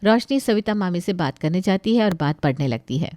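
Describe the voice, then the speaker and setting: A woman narrating a scripted moral story with expressive storytelling delivery. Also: fairly low pitch, a little fast, no echo.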